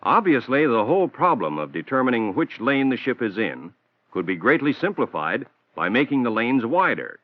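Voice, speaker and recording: A man's voice: medium pitch, very animated, no echo. Dull vintage film narrator, American man. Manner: measured, explanatory, formal. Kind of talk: scripted instructional narration. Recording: dull, band-limited 1960s film soundtrack.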